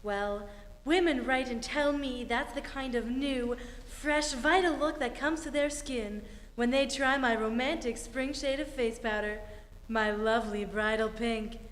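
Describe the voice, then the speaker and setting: A woman's voice: on the high side, unhurried, close to an everyday voice. A woman acting a genteel beauty expert, giving a polished, persuasive 1940s radio advertisement. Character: genteel lady beauty expert selling face powder. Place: theatre stage. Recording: echoey hall, live stage recording.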